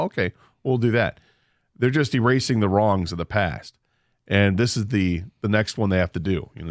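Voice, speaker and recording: A man co-hosting a sports talk radio show, arguing his points in a measured way. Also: on the low side, dry recording, studio quality. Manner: measured, argumentative. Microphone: studio microphone.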